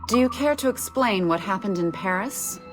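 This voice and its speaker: An actress playing a cold, demanding corporate superior in a game audio log, speaking in a curt, authoritative way. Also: female voice, medium pitch, lively intonation, normal speed, lightly acted. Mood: displeased, accusing. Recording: music under the voice.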